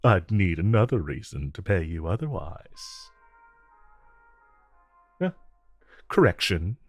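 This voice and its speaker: A suave male supervillain played by a man flirts in a romantic audio roleplay. His voice is on the low side and moves at a slow, teasing pace, with faint music underneath. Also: darkish tone, dry recording, exaggerated voice. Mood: playful, flirty. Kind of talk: romantic audio roleplay acting addressed to the listener.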